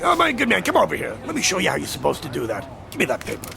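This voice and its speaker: A sly, suave newspaperman for a radio drama. The voice is very gravelly and high for a man, swinging theatrically with teasing showmanship. Music plays behind it. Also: huge pitch swings, rapid-fire, full character voice. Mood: showy hawking. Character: sly, suave, devilish newspaperman with showy sales patter.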